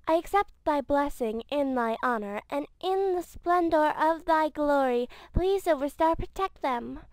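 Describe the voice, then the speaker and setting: A reverent anime heroine, played by a woman declaims a ceremonial prayer in a high voice. The delivery is formal, the sound dry. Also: animated, measured pace, no room sound, rough recording. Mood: reverent pleading.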